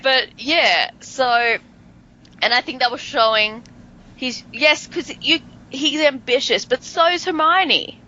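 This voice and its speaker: A woman's voice: high voice, pushed and bright, a little hiss or hum. A woman co-hosting a podcast, chatting casually and arguing playfully, with a faint Southern twang. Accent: hints of Southern American. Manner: playful, argumentative, drawn-out emphasis. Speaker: podcast co-host. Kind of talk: casual chat. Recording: dull lo-fi podcast audio.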